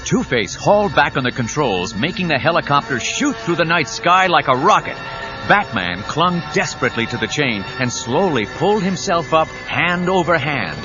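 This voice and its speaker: A man's voice: on the high side, big pitch swings, normal speed. A man narrating an action audio drama with urgent, suspenseful scripted delivery. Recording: dull cassette audio with dramatic music.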